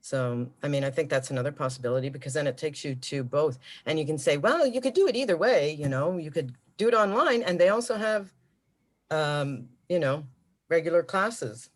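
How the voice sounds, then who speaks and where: Man calmly pitching animation ideas on a call. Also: moderate pace. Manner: calm, explains ideas step by step with fillers. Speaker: animation studio producer. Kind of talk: video call meeting. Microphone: video call.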